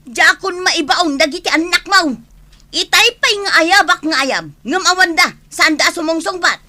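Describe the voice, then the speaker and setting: A woman's voice: extremely high pitch, moderate pace. A woman playing an exasperated, nagging mother and wife in an Ilocano radio comedy drama, scolding dramatically. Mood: scolding, shouting. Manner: scolding, dramatic, loudly calling out.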